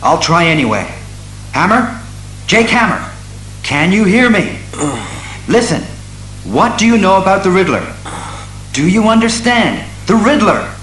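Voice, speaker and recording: An actor playing a serious, commanding masked detective hero, earnest and deliberate, in an audio drama. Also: male voice, high-pitched, very animated, a bit bright, a little hiss or hum, heavily acted, poor audio. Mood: intent.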